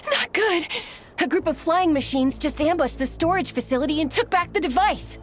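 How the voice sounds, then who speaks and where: A woman voicing a grumbling but helpful young woman in a video game, expressive and wry. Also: very high voice, normal speed, faint music behind. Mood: alarmed. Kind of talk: animated video game voice acting.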